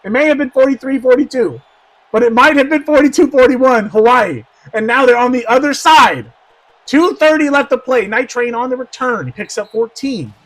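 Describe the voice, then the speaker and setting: Hoarse, bright-voiced man calling football. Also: very high-pitched, normal speed, poor audio. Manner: swings from hushed asides to shouted excitement. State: going hoarse. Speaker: play-by-play commentator. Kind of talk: excited simulated football commentary.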